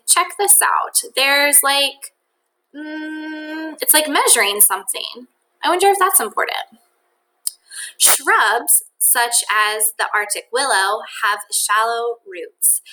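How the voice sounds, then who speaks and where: A woman teacher reading a children's nonfiction book aloud in an expressive, deliberate way, with think-aloud asides addressed to children. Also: high voice, slight background noise, low-quality recording.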